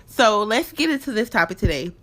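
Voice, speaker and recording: A young woman with a stuffed-up nose, chatting candidly to her listeners as a podcast host. She talks at a middle pitch, casual and personal, recorded on a home mic in her apartment. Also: very animated, fairly quick, a bit bright, rough recording. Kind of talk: casual faith-based podcast talk.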